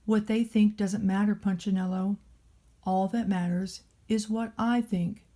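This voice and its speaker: A woman voicing a large, gentle bearded craftsman in a storybook, slow, thoughtful and reassuring. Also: mid-range voice, fairly even intonation, natural voice.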